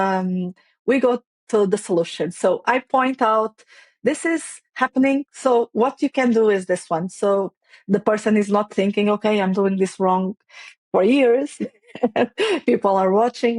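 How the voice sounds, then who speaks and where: A woman's voice: mid-range voice, darkish tone, dry recording. Woman, dry close mic. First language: Portuguese. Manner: hesitant, many restarts and fillers, non-native phrasing. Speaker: guest, playwright and facilitator. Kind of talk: conversational interview.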